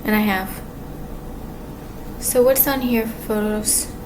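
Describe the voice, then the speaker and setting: A woman with a quiet voice talks casually to camera, rambling and halting with many restarts and fillers. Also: mid-range voice. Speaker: vlogger. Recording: clear background ambience noise.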